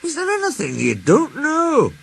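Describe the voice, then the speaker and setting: Man voicing an excitable Scottish steam engine, pitched high. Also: normal speed, creaky, heavily acted. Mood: excited. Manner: earnest, blurting, dialect phrasing.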